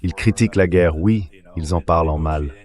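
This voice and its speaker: A man's synthetic translation voice delivering measured, explanatory political commentary as an interview guest. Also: low voice, a little mellow.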